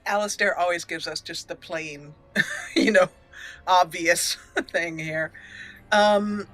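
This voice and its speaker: A woman's voice: on the low side, slow pace, fairly bright tone, soft background music. A woman hosting a let's play, switching between storytelling narration and commentary on her roleplay game.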